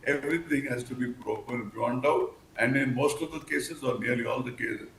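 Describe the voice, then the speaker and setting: Older indian guy, news pundit, creaky voice, wordy and measured, roomy sound w faint noise. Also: mid-range voice, a bit bright. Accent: Indian English. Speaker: expert guest, former senior police officer. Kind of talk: live news panel commentary. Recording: echoey room, faint background noise.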